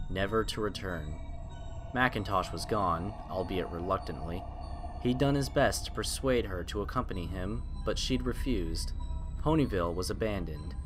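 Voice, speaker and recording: Deep-voiced man narrating over prominent music. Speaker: narrator of a fan fiction horror reading. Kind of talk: scripted dramatic story narration.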